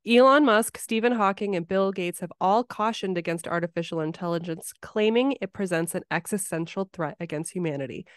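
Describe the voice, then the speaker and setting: A woman's voice: fairly low pitch, brisk, hardly any pauses, clear, resonant tone, no echo, studio quality. A woman hosting a podcast, speaking in an informal, chatty interview style. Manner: informal, conversational. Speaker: podcast host. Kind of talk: casual interview chat.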